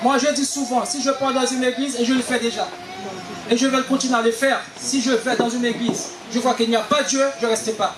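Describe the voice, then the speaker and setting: A man's voice: extremely high pitch, level tone, fairly quick, echoey room, background noise. A man preaching as a guest, exhorting the congregation and punctuating his phrases with amens. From Haiti. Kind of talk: sermon with call and response.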